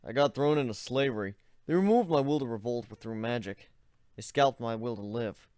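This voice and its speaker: A man voicing a weary young male zebra, a former slave who speaks flatly and matter-of-factly. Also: mid-range voice, brisk, clean tone, dry recording, studio quality, natural voice. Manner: flat, matter-of-fact.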